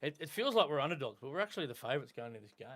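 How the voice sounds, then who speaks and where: An Australian man hosting a live sports podcast, chatting in a relaxed way with frequent ums and restarts. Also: a little high, rapid-fire. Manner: relaxed, conversational with fillers. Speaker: podcast host. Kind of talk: casual sports chat.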